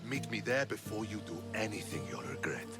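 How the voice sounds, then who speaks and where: A low-voiced, principled soldier. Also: male voice, big pitch swings, loud music behind the voice. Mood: earnest. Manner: measured, reasoning. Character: measured, principled male Spartan soldier. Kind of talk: dramatic video game acting.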